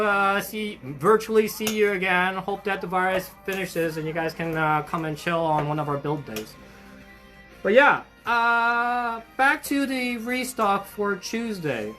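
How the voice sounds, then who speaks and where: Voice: mid-range voice, measured pace, pushed and bright, faint music behind. A young adult hosting a hobby shop livestream in a casual, upbeat way, chatting with viewers, greeting them by name and reading out their comments, with lots of fillers and restarts. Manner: friendly and effusive toward viewers.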